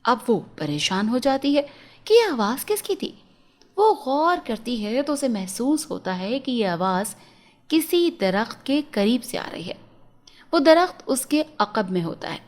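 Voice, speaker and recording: A woman's voice: on the high side, very animated, unhurried, darkish tone. A woman storyteller reading a scripted fairy tale for children as a narrator.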